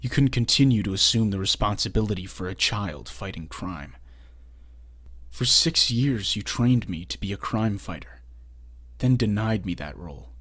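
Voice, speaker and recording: A man's voice: fairly low pitch, expressive, moderate pace, fairly bright tone. A man playing a bitter, earnest young former sidekick, delivering a reproachful dramatic monologue in a comic dub. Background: music.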